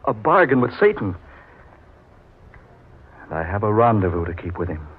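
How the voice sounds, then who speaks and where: An actor playing a grave, haunted husband doomed by a dark bargain in an old-time radio horror drama, with a very rough, husky voice. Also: male voice, on the low side, very animated, normal speed. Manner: grave, ominous, melodramatic. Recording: badly muffled vintage radio recording.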